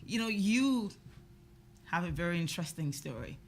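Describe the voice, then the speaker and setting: A woman's voice: lowish voice, normal speed, a little hiss or hum. A woman from Africa hosting a talk show, chatting and laughing often as she questions her guests. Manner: laughs often, animated and teasing. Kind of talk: casual interview chat.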